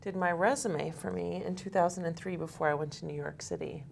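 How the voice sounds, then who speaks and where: A woman in her thirties co-hosting a casual business talk show from a TV studio desk, chatty and quick with interjections. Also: on the low side, creaky, darkish tone, slight background noise. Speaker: co-host. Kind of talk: casual panel chat.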